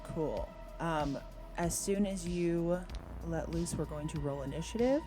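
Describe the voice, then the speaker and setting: A woman running a tabletop game, explaining rules and narrating scenes to players with frequent pauses. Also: on the low side. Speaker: game master of an online tabletop roleplaying game. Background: music.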